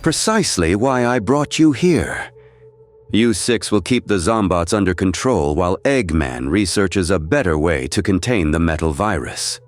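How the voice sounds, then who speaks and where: Man as a low-voiced, scheming scientist henchman. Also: expressive, normal speed. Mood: smug. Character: coolly polite, scheming scientist henchman villain. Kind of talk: comic fan dub voice acting.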